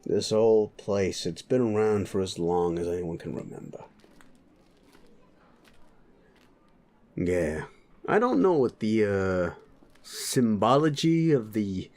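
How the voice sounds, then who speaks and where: A man's voice: fairly low pitch, a little mellow. A man playing a cocky, sleazy werewolf drinking buddy in an audio roleplay, swearing, teasing and rambling at the listener. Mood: casual, friendly.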